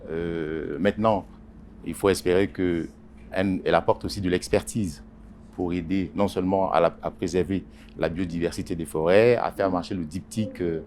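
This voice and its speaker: A man, a journalist, answering interview questions in a halting way with many pauses and repeated words. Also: deep voice, steady tone, darkish tone, a little hiss or hum. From Congo Basin. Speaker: journalist and editorial writer.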